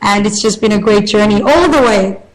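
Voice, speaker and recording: A woman's voice: mid-range voice, moderate pace, a crowd in the background, poor audio. Earnest young woman. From South Africa. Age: 20s. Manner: earnest, motivational, repeats phrases for emphasis. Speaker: radio broadcaster, guest. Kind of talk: radio interview.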